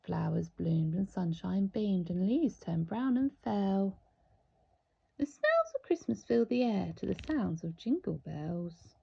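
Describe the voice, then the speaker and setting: A woman reading a children's storybook aloud with theatrical, deliberate, expressive storytelling. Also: medium pitch, vocal fry, breathy edge, highs cut off. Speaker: storybook reader.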